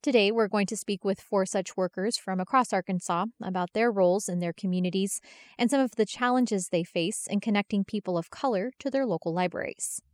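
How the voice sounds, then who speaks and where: A woman hosting a podcast, reading a measured, scripted introduction. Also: lowish voice, dry recording. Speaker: podcast host.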